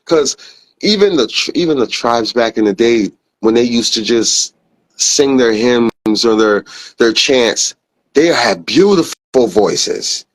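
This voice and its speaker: A man hosting a podcast, chatting casually and excitably, jumping between questions. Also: medium pitch, takes it slowly, rough recording. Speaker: podcast host. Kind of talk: casual chat.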